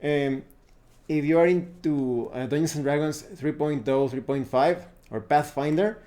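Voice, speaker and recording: A man giving a casual, unscripted review of roleplaying games, pausing now and then to search for words. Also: fairly high pitch, dark tone. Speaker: tabletop roleplaying game reviewer.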